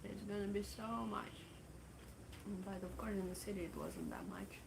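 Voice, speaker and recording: A woman with a Russian accent, a native Russian speaker, chatting casually on a livestream in a halting way full of fillers. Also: medium pitch, gravelly, background noise. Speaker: livestream host.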